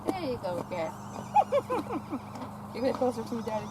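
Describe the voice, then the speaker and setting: A woman's voice: fairly high pitch, huge pitch swings, a little slow. A woman chatting outdoors in a paddock, coaxing a mare in baby talk, often repeating phrases and stammering over words. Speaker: vlogger visiting a stud farm. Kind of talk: casual talk to a horse and companion. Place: outdoor paddock at a stud farm. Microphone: camera held by companion. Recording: outdoor wind and farm noise.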